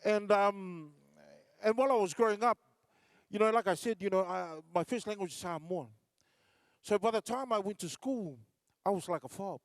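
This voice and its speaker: High-voiced man telling standup stories. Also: normal speed, no echo, clean studio sound. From New Zealand. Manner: storytelling with acted-out voices, many fillers and restarts. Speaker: comedian and MC. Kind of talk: live standup comedy. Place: large concert hall stage.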